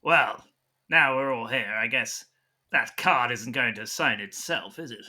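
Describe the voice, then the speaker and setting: A man's voice: mid-range voice, unhurried, pushed and bright. A man playing his part in an improvised comedy roleplay. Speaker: player in a roleplay podcast.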